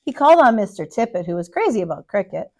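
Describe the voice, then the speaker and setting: A woman reading a children's storybook aloud with deliberate, emphatic, expressive storytelling. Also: medium pitch. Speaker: storybook reader, kindergarten teacher.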